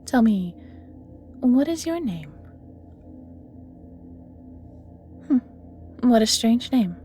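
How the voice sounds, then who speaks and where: A woman's voice: a little high, sing-song, slow, warm tone, breathy edge, heavily acted. A woman playing an ancient banished evil magical being in an audio roleplay, flirty and world-weary, musing theatrically and often sinking to near-whispers, with music behind. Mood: curious, teasing. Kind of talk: scripted audio roleplay acting, addressing the listener.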